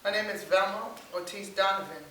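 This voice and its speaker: Bright-voiced woman, low-pitched, in an echoey room. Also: brisk. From Long Island, New York. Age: 40s. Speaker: stage reader telling a life story. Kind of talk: rehearsed dramatic monologue reading. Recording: very echoey room, distant mic, faint hiss.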